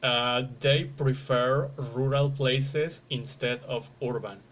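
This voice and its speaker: A man's voice: medium pitch, steady tone, slow pace, a bit bright, slight background noise. A man who is not a native English speaker, asking casual interview questions and searching for his words.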